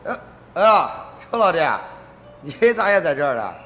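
A man acting a wily, smooth-talking crook in a radio drama, cajoling and sly with an undercurrent of menace. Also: sits high, sing-song, moderate pace, fairly bright tone, soft background music. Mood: pushy. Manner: cajoling, sly, interjection-laden. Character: wily, smooth-talking tomb-robber dealer, quietly menacing.

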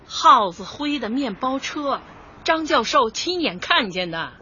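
A woman's voice: high voice, sing-song, bright, forceful tone, a little hiss or hum, exaggerated voice. An actress playing a proud, blunt older neighborhood woman in a radio comedy. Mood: indignant. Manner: blunt, colloquial, quick to retort. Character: feisty older neighborhood woman, square-dance leader, proud and easily wronged. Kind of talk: radio drama acting, light comedy. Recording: slightly muffled, dull audio.

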